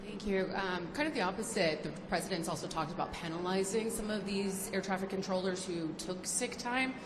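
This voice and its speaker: A woman's voice: medium pitch, brisk. Woman reporter, echoey and off-mic. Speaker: news reporter. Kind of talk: press conference questioning. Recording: echoey, noisy, off-mic in room.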